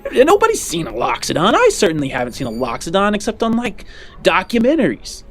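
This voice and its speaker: A man playing a chatty, skeptical local in a sci-fi fantasy roleplay, rambling and halting as he talks. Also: high-pitched, huge pitch swings, voice pushed up. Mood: bemused. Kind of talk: improvised in-character tabletop roleplay. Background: background music.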